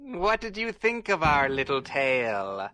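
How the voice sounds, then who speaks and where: A man's voice: a little high, sing-song, a little slow, heavy background noise. A man putting on a creepy, taunting horror host for a scripted podcast outro. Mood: teasing, menacing.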